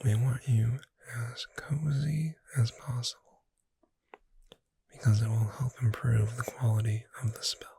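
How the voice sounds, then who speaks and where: Woman as a gentle witch bookseller whispering you to sleep, breathy n slow, really close dry asmr. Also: extremely low pitch, steady tone, no echo, heavily acted. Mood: gentle, caring. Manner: slow, soothing, deliberate. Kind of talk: soft-spoken ASMR sleep roleplay, guided relaxation.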